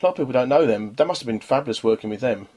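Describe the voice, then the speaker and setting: A man's voice: mid-range voice, animated, very fast, mellow. A middle-aged man hosting a casual radio interview, chatting in a rambling way and often stammering over repeated words. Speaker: radio show host.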